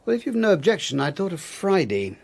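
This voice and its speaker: An actor playing a grave, formal English family solicitor with a deliberate manner, in a radio drama. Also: male voice, high-pitched, big pitch swings, creaky, husky, full character voice.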